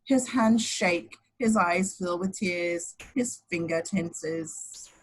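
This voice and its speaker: Husky woman narrating a script. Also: on the low side, slow pace, rough recording. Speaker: action description reader.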